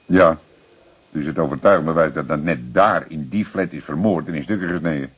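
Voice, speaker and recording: Very deep, husky police detective. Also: male voice, brisk, highs cut off. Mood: matter-of-fact. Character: seasoned, calm, persistent male police detective. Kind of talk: radio drama acting.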